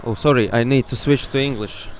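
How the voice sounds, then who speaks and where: A man with a Latvian accent calls the action play by play in an ordinary mid-range voice, cheering openly for the home side. He rises to shouts when a play comes off. A faint hum of an indoor sports hall sits behind him. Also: medium pitch, fairly quick. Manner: excitable, openly partisan, bursts into shouts on big plays. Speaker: sports commentator. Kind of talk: live play-by-play commentary.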